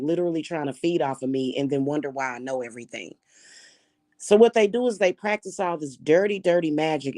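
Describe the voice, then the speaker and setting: A woman with a Missouri accent speaks in a fairly low voice, blunt and scornful as she talks straight to her viewers. Her tone is mocking and sure of itself. The sound is dry and close, with no room echo. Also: a little mellow. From Kansas City, Missouri. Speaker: spiritual practitioner and channel host. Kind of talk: casual monologue to viewers.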